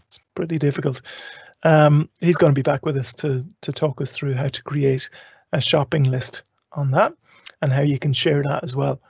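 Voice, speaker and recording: A man's voice: fairly high pitch, gravelly, darkish tone, slightly rough, dry recording, highs cut off. A man hosting a live tech show in a casual, chatty and loosely rambling way.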